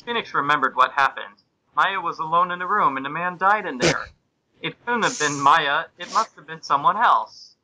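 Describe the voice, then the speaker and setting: Male podcast narrator, sharp bright tone, slightly high pitch, amused, mock-serious, amateur comic story reading. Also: normal speed, slight background noise, poor audio. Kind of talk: comic amateur reading of fan fiction aloud.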